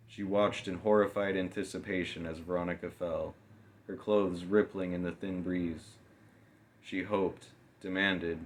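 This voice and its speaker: Low-pitched male audiobook narrator. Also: a little hiss or hum. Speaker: author narrating own novel. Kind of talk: scripted audiobook narration, dramatic storytelling.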